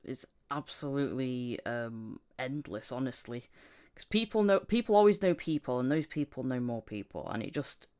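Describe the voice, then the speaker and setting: A woman's voice: rumbling low, animated, normal speed, a little mellow, clear, resonant tone. A woman speaking conversationally as the host of a podcast about voice acting. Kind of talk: casual podcast chat.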